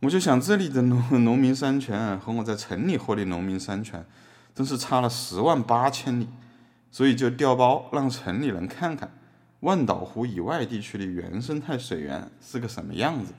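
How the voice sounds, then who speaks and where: A man's voice: medium pitch, expressive, moderate pace, darkish tone, natural voice. A man playing a defiant activist artist on trial, wry and conversational, in a podcast drama. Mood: earnest recounting. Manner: wry, conversational, rhetorical questioning. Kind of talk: scripted podcast courtroom drama.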